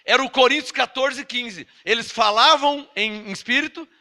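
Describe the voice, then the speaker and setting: Bright, high-pitched Brazilian preacher man. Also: moderate pace. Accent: Brazilian Portuguese. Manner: emphatic, scolding, with rhetorical questions to listeners. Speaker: pastor. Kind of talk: sermon.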